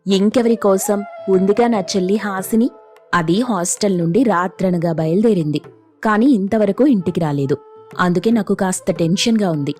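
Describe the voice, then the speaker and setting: A woman's voice: mid-range voice, moderate pace, a little mellow, soft background music, natural voice. A woman playing an anxious, jealous wife and elder sister who scolds and bosses in a family story. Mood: worried. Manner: scolding, suspicious.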